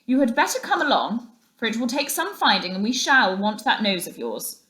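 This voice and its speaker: Storybook gentleman rat, loyal and imperious, emphatic chummy delivery, pitched a little above narration by a woman reader. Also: big pitch swings, moderate pace. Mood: brisk, pleasant. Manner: hearty, emphatic, old-chap chumminess. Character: hearty, loyal, bossy gentleman water rat.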